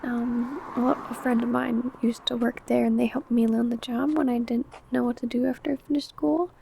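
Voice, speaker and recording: Girl doing a sly vampire coworker asmr, soft mellow hushed voice, kinda flat and coy, bit of background noise. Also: a little high, moderate pace, vocal fry, low-quality recording. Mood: evasive, defensive. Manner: hushed, chatty, coy, drifting into whispers. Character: secretive female vampire coworker, older senior, sly and possessive. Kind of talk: ASMR roleplay addressed to the listener.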